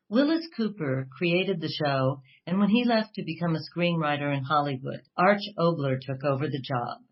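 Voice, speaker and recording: A woman's voice: low-pitched, lo-fi sound. A woman hosting a radio show, reading a scripted introduction. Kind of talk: scripted narration.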